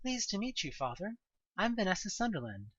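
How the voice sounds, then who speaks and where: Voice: medium pitch, very animated, a little fast, a bit bright, no echo, lo-fi sound, pitched above the performer's own voice. A performer voicing a wary, polite young woman searching for her uncle in an audio drama.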